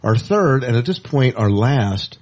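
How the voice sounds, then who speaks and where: A man's voice: mid-range voice, lively intonation, unhurried, a little mellow. A man co-hosting a podcast in a casual, chatty style, with wry asides and words repeated for emphasis. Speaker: podcast co-host. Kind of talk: casual show rundown and banter.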